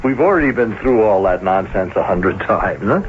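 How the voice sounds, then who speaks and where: An actor playing a soft-hearted, distracted banker in a radio drama, amiable and a little hesitant. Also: male voice, on the low side, lively intonation, moderate pace, close to an everyday voice. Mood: hopeful, breezy. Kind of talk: old radio drama acting. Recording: tinny, noisy old radio recording.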